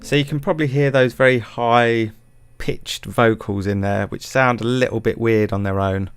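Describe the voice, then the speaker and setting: A man's voice: medium pitch, fairly even intonation, moderate pace, smooth. A man narrating a music production tutorial in a casual, hesitant, self-deprecating way.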